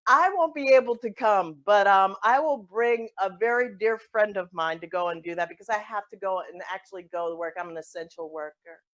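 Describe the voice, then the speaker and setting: A woman playing a frazzled, sarcastic mother in an improvised comedy sketch, speaking in a halting, conversational way. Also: medium pitch, fairly bright tone, dull audio, natural voice. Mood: pleading.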